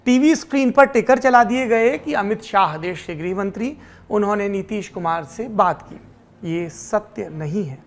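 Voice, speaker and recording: A woman's voice: mid-range voice, animated, a little slow. A woman presenting explanatory political news commentary as a news presenter.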